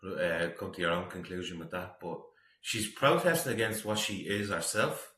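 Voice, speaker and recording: A middle-aged man with a low, forceful voice comments casually as a reaction host. He sounds disapproving and opinionated, and the recording is roomy and echoey. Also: moderate pace.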